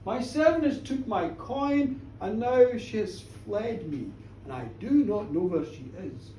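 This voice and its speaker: A storyteller voicing a tall, sinister gentleman who taunts theatrically with drawn-out words and loud laughter. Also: medium pitch, lively intonation, echoey room, recorded from a distance, a little hiss or hum, close to an everyday voice, poor audio. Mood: aggrieved. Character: tall sinister mocking gentleman, gleefully menacing.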